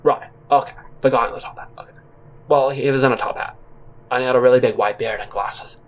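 Male narrator for comic audio fiction, average pitch, awkward and digressive, talking as if thinking aloud. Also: steady tone, fast talker. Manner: rambling, awkward, conversational. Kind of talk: comic first-person scripted narration.

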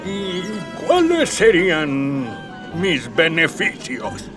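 Haughty high-voiced emperor. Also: male voice, wildly swooping pitch, very slow, exaggerated voice. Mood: haughty, indulgent. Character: capricious, self-serving pompous male emperor. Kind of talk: comic radio drama acting. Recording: music behind the voice.

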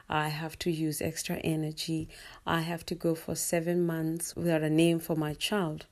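A woman's voice: deep voice, gravelly, a little mellow. A woman from Kenya in her thirties, a mother speaking steadily and earnestly about raising her children. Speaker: guest. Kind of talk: personal testimony.

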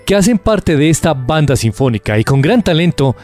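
Male narrator, solemn, lyrical, scripted, higher voice, very animated pitch. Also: normal speed, faint music behind. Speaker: news narrator.

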